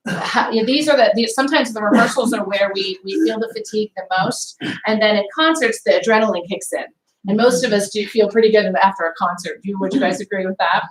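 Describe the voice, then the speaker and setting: A woman's voice: mid-range voice, fast talker. A woman voice teacher leading a singing workshop for a group, friendly and encouraging, often asking the group questions. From came from Baltimore. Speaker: voice teacher, guest clinician. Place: rehearsal room with a group. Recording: echoey room, mic far off.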